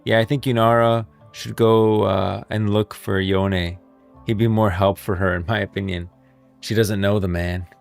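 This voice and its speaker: A man doing casual live commentary on game lore as a streamer, halting and repeating himself as he thinks aloud. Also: fairly low pitch, level tone, gravelly, clear, resonant tone, faint music behind.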